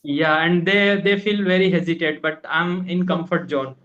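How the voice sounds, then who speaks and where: A man's voice: fairly high pitch, steady tone, moderate pace, fairly bright tone, highs cut off. A young man from India, a native Hindi speaker, earnestly asking questions in a casual English practice lesson, politely calling his teacher ma'am. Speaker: English learner. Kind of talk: online language lesson chat. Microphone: video call.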